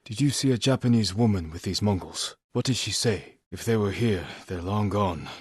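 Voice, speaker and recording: A grimly resolute samurai leader for a video game. His low voice has a husky grain and sits in a very dry, close recording. He speaks with solemn, measured restraint in short, clipped lines. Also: no echo. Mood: calm, resolute. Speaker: video game lead character. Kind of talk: dramatic video game voice acting.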